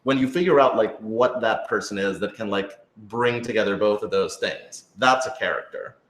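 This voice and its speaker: A man's voice: on the low side, normal speed, a bit bright. A man chatting casually on a question-and-answer panel as an audio drama's writer, rambling as he thinks aloud. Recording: roomy, echoey room sound.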